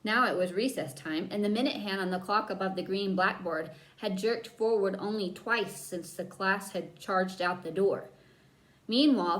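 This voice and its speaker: A woman reading a children's book aloud as a storyteller, with dramatic emphasis and drawn-out key words. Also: medium pitch, fairly bright tone, rough recording. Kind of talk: expressive read-aloud narration for children.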